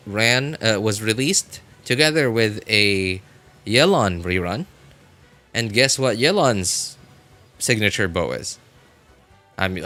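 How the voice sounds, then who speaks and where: A man chatting casually as he comments on gameplay on a live stream, rambling and often correcting himself in a halting way. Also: fairly low pitch, sharp tone. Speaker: game livestreamer.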